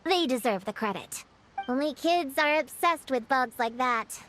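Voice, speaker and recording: A woman's voice: sits high, lively intonation, measured pace, a little hiss or hum. A woman voicing an earnest, responsible young girl in a video game, matter-of-fact as she reasons things out. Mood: thoughtful, serious. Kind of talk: video game character acting. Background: loud game music.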